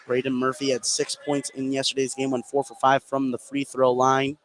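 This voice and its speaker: A man's voice: mid-range voice, completely flat delivery, normal speed. An American man giving live play-by-play radio commentary on a basketball game. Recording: clearly noisy gym ambience.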